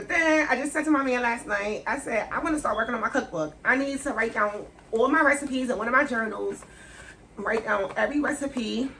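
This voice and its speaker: A woman's voice: on the high side, a bit bright, rough recording. 30s woman, casual q&a vlog, slangy, laughs a lot, faint background hiss. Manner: chatty, laughs often, slangy and candid. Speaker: vlogger. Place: indoors at home, sit-down setting.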